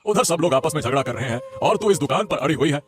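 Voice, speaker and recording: A man's voice: a little high, lively intonation, quick pace, faint music behind, close to an everyday voice. A man voicing a concerned elderly father-in-law in a Hindi cartoon, mediating and chiding the family. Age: older. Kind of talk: Hindi moral-story cartoon voice acting.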